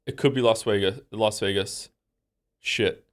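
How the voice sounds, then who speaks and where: A man from Wales livestreaming, thinking aloud in a rambling, excitable, incredulous way as he chats casually with viewers during a game. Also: lowish voice, measured pace. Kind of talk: casual chat with stream viewers while playing a guessing game.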